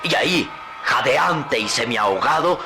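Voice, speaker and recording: A man's voice: medium pitch, lively intonation, a little slow, over loud music. A man narrating an old radio drama in a grave, suspenseful tone.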